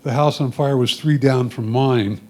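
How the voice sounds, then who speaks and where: A man's voice: medium pitch, steady tone, a little slow, dark tone. An American man gives matter-of-fact, semi-scripted commentary on his photographs, explaining them as he goes. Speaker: club photographer presenting own photos.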